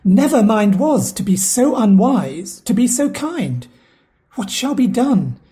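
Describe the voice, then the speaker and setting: A woman's voice: on the high side, sing-song, slow, warm tone. A woman reading the part of a worried, exasperated household steward in a Shakespeare audio play.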